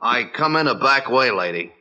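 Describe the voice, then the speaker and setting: A man's voice: medium pitch, expressive, moderate pace, creaky, sharp tone, exaggerated voice. An American actor playing a threatening, slangy tough-guy hoodlum in a muffled old 1940s radio drama recording. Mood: menacing. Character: menacing, slangy low-voiced male hoodlum. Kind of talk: 1940s radio gangster acting.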